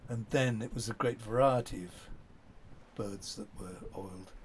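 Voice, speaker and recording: A man's voice: fairly low pitch, normal speed, a little hiss or hum. An older man reminiscing in an interview, telling rambling, anecdotal stories from his past. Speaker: documentary subject.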